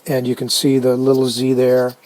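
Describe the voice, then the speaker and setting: A man casually narrating a hands-on restoration video, unhurried and halting as he thinks aloud. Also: medium pitch, completely flat delivery, a little mellow. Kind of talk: casual narrated how-to commentary.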